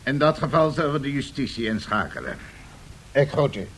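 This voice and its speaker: A man's voice: medium pitch, animated, fairly quick, a little hiss or hum, close to an everyday voice. A man acting a stern, uncompromising colonel turned business executive in a Dutch radio drama, curt and formal. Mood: stern, demanding.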